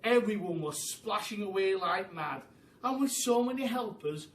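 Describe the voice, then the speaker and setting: A man reading a children's story aloud in an animated, storytelling manner. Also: high voice, moderate pace. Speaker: storytelling teacher. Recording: roomy, distant mic with faint noise.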